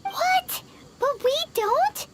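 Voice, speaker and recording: A woman voicing a tiny, chatty, childlike companion creature in a video game with a squeaky voice. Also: expressive, extremely slow, very noisy, exaggerated voice. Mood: baffled.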